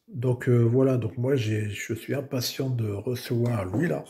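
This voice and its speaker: A hoarse man casually showing his homemade radio modules, talking with many fillers and restarts. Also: medium pitch, steady tone, a little slow, warm tone. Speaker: amateur radio builder. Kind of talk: casual technical show-and-tell.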